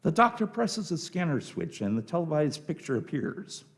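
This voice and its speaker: Animated, gravelly male radio play narrator. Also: on the high side. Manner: theatrical, deliberate.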